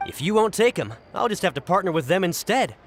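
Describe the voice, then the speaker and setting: A man's voice: high voice, very animated, a little fast, slight background noise. A man playing a pushy, persuasive fruit seller in a scripted video game, with a wheedling salesman's manner. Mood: wheedling, conspiratorial.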